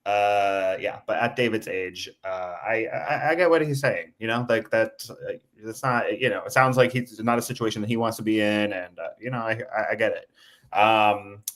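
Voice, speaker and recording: A man's voice: fairly low pitch, normal speed, a bit bright. A man on a podcast as a guest, talking casually and hesitantly with lots of fillers. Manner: hesitant, many fillers and restarts. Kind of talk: casual chat.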